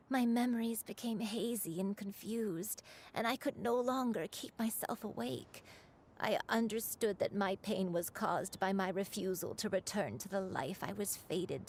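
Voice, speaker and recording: An actress playing a gentle, remorseful old crane spirit in human form, telling a story in a measured, confessional way in a video game. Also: female voice, mid-range voice, husky. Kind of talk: scripted video game voice acting, storytelling monologue.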